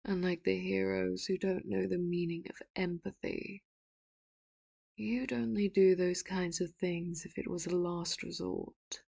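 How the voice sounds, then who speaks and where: A woman voicing a manipulative, doting villain in an ASMR audio roleplay, speaking slowly and softly with sudden sharp threats, close to the mic. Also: fairly low pitch, steady tone, gravelly, breathy edge, no echo, full character voice. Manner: slow, hushed, often whispered, turns sharp and loud for threats. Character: doting, manipulative villain, soft-spoken and menacing.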